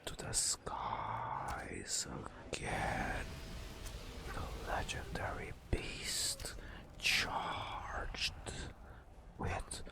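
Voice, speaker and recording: A man softly narrating a fantasy game as ASMR, in a breathy whisper with slow, dramatic storytelling and long pauses. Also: rumbling low, fairly even intonation.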